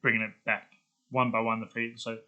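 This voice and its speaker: Bright-voiced man chatting casually to viewers. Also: medium pitch, flat delivery, fairly quick, highs cut off. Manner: informal, thinking aloud. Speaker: video creator. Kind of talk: casual vlog chat.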